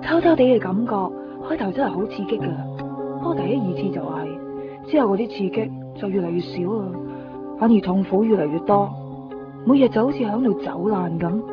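A disillusioned, world-weary Hong Kong woman confessing in a radio drama, played by an actress. Her voice has an average pitch. The recording is dull and lo-fi, with clear music underneath. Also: lively intonation, brisk, lightly acted. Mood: weary, confessional. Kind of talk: Cantonese radio drama monologue.

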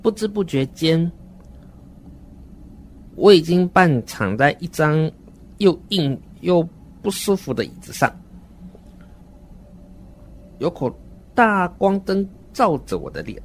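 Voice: mid-range voice, very animated, slow pace, long pauses, slight background noise. An adult performer voicing a naive, uneasy, wordy seven-year-old child who narrates a horror radio drama in an earnest, dramatic way. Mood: earnest, indignant.

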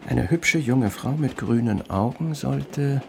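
An actor playing a suave, ironic gentleman thief with courtly manners in a radio play. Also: medium pitch, lively intonation, takes it slowly, gravelly, slight background noise. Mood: courteous. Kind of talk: 1920s radio play acting.

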